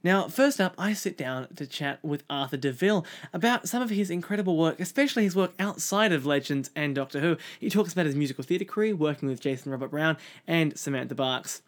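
A man's voice: a little high, lively intonation, fairly quick, hardly any pauses. Upbeat male host. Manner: upbeat scripted introductions. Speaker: podcast host. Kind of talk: interview hosting.

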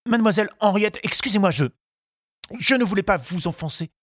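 A man's voice: high-pitched, brisk, a bit bright, slight background noise, pitched above the performer's own voice. A man voicing a shy, earnest young suitor in an audiobook, hesitant and deferential, stammering as he speaks. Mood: flustered, ardent.

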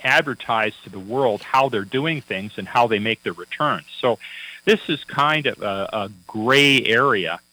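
A man's voice: mid-range voice, lively intonation, unhurried, pushed and bright, poor audio. A man working as a financial advisor, chatting on a radio talk show in a folksy, anecdotal way with many fillers and restarts.

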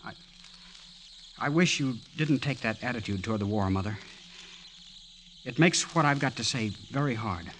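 A man's voice: mid-range voice, expressive, moderate pace, pauses often, fairly bright tone, close to an everyday voice. An actor playing an earnest, headstrong young man of about twenty in a vintage radio drama. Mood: earnest, determined. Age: young adult. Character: earnest, headstrong American son of twenty, eager to enlist. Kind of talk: 1940s-50s radio drama acting. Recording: noisy old radio broadcast.

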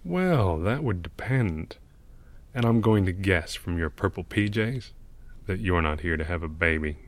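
Man acting a slow, low-voiced gloomy old painter. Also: lively intonation, no room sound. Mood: dry, sardonic. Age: older. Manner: sardonic, world-weary, deliberate. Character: gloomy, cynical old male mural painter. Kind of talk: audio drama acting.

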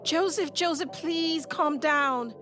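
A woman acting a calm, devoted middle-aged mother who reassures her daughter in an audio drama. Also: high voice, slow pace, faint music behind. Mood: urgent, soothing.

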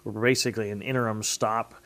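Low-pitched American man telling stories. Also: fairly even intonation, brisk, fairly bright tone. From Pennsylvania, United States. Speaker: state legislator being interviewed. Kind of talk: conversational interview, telling personal stories.

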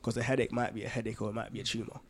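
A man's voice: on the low side, level tone, rapid-fire, slight background noise. A man co-hosting a podcast, talking informally with plenty of banter. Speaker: podcast co-host. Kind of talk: casual discussion.

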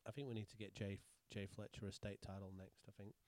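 A middle-aged man from Yorkshire with a Yorkshire accent, co-hosting a podcast in casual chat and telling stories with lively quoted voices. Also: on the low side, quick pace, dry recording. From England, Yorkshire. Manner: animated storyteller, acts out quoted voices. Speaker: podcast co-host, fight promoter.

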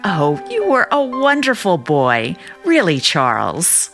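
A woman's voice: fairly low pitch, huge pitch swings, takes it slowly, over music, exaggerated voice. An actress playing a doting, trusting middle-aged sister and small-town mother in an old-time radio drama, chatty and earnest. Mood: nostalgic.

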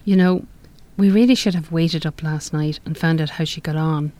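Woman acting a dry, low-voiced refuge resident. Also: steady tone, normal speed, warm tone, close to an everyday voice. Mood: concerned. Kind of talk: live radio play acting.

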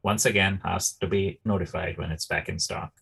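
Guy cohost chatting, husky and on the low side, bit halting. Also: fairly even intonation, fairly bright tone. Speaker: podcast co-host. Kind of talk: casual chat.

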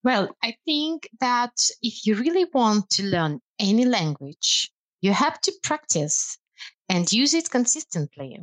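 A woman whose first language is Russian, a language lecturer explaining learning tips in a measured way on a podcast. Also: on the high side, very animated, a bit bright, no room sound. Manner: measured, explanatory, with some hesitant fillers.

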